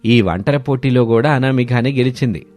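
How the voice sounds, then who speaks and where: A man's voice: medium pitch, moderate pace, darkish tone. A man narrating a scripted dramatic family story. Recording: studio voice over background music.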